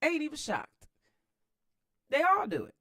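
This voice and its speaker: An American woman reading a book aloud and adding informal, slangy commentary in an expressive manner. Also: high voice, measured pace, no room sound, clean studio sound. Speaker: host of a read-aloud series. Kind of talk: book read-aloud with casual running commentary.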